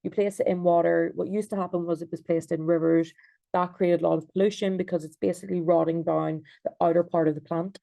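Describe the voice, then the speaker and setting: Young Irish woman presenting on a video call; gravelly, mellow, hesitant delivery with lots of fillers. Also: lowish voice, little pitch movement, quick pace, no echo. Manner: hesitant, many fillers and restarts. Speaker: designer and researcher.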